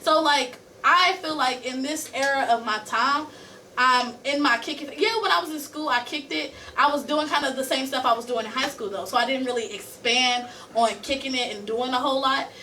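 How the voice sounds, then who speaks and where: High, bright young woman, slightly off-mic. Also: normal speed, far from the mic, rough recording. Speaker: podcast co-host. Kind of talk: casual chat. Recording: off-mic, faint room noise.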